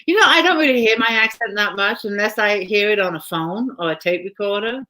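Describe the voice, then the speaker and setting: A woman's voice: mid-range voice, a little fast, pushed and bright. A woman with a British accent hosting a casual live author chat from home, talking chattily and laughing often. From Britain. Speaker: host.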